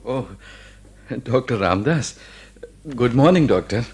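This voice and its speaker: A devoted, open-hearted young husband, for a radio drama. His voice sits at an ordinary male pitch. He talks in an earnest, affectionate way. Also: expressive, measured pace, darkish tone, husky, soft background music. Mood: polite, slightly anxious. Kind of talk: Hindi radio drama acting.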